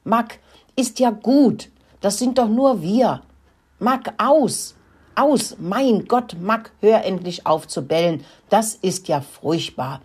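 A woman's voice: on the low side, lively intonation, measured pace, husky. A woman voicing a stern, exasperated farm girl in a children's story. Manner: scolding, shouting.

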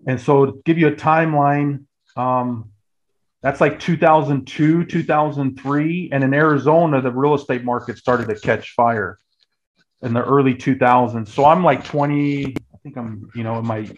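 A man's voice: mid-range voice, steady tone, moderate pace, lo-fi sound. An American man in his early forties telling his story in a casual, conversational way, often restarting and repeating phrases mid-thought. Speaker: guest, real estate agent.

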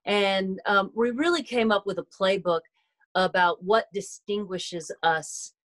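A woman's voice: medium pitch, a little slow, a bit bright. A woman speaking as an interview guest in a composed, businesslike way. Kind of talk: interview answers.

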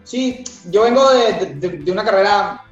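A Venezuelan man with a Venezuelan Spanish accent, a business founder being interviewed, explaining his ideas in an animated way with many fillers. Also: sits high, normal speed. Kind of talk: interview answers. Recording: roomy, dull audio with music.

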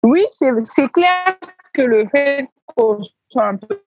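A woman's voice: high voice, expressive, slow pace, lo-fi sound, soft background music. A woman speaking as an interview guest about reading workshops, talking in a hesitant way and repeating words as she searches for them. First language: Senoufo heritage, speaks it only at a basic level. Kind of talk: conversational interview answers.

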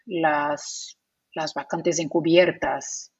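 Brazilian Portuguese-accented woman, lowish husky voice, dry muffled call audio, chatty explainer. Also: steady tone, drawn-out words, dry recording. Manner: explanatory, laughs easily, many fillers. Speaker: guest, remote work expert. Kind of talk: casual interview talk.

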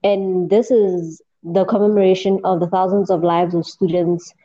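A woman hosting a casual podcast chat, unhurried, often murmuring soft agreement while her guest talks. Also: lowish voice, fairly even intonation. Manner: unhurried, lots of soft "mm" backchannels. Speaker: podcast host. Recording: dull lo-fi podcast audio.

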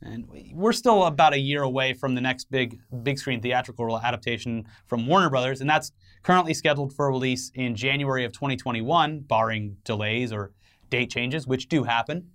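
A man's voice: medium pitch, normal speed, fairly bright tone. A man co-hosting an entertainment news show, giving conversational commentary with wry, joking asides.

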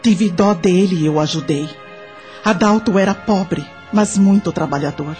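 A woman acting as a widowed mother in a radio drama, with a mellow, fairly low voice. She tells her life story in a measured, confiding way, full of gratitude and remorse, over clear background music. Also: natural voice. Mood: reflective, confiding. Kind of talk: dramatic first-person radio drama narration.

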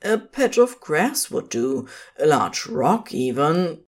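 Slow, expressive fan fiction narrator. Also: mid-range voice. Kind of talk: scripted fan fiction narration, intimate storytelling.

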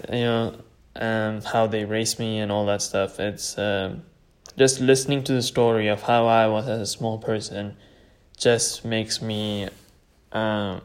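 A man in his late teens from Norway, tired late at night, rambling hesitantly as he tells his own story on a solo podcast. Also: lowish voice. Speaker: podcast host.

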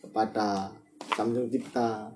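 A man's voice: lowish voice, level tone, takes it slowly, noisy background. A man with an East Javanese accent hosting a casual, playful chat, often repeating his phrases and slipping into regional slang. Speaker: podcast host.

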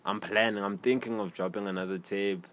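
A young South African man with a South African accent, hosting a podcast and talking in a relaxed, rambling way full of slang. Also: lowish voice, a little fast. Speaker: podcast host. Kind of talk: casual interview chat. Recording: faint background noise.

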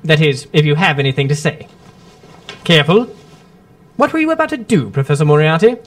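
A man performs a radio-drama gentleman sleuth, urbane and imperturbable. His dry wit comes through in very lively, varied intonation, with small murmured hesitations between polished phrases. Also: a little high, fairly quick, lightly acted. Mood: coolly defiant. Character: shrewd, imperturbable male gentleman detective, drily witty.

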